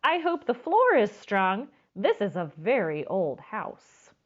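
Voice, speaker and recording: A woman's voice: medium pitch, sing-song, slow pace, narrow, tinny audio. Woman acting firm, emphatic storybook grandma. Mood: worried. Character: firm old grandma, reluctant but kindly. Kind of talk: children's storybook read-aloud.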